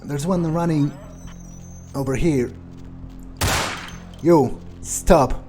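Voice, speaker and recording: A man's voice: a little high, extremely slow, mellow, soft background music. A man improvising in character as a cocky, callous vampire while playing a video game, drawling and scornful. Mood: callous, musing. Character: cocky, callous male vampire roleplayed by a gamer. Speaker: gamer roleplaying in a playthrough.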